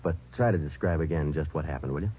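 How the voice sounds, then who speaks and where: An actor playing a terse, businesslike police detective who narrates his case in a deadpan 1950s radio drama style. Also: extremely low pitch, quick pace, a little hiss or hum. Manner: deadpan, matter-of-fact. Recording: muffled old radio recording, music behind.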